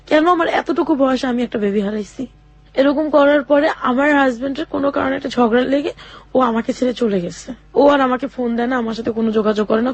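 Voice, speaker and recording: A young woman telling her own life story in an interview, speaking haltingly with many fillers and restarts. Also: on the high side, no room sound. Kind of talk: personal testimony in a radio interview.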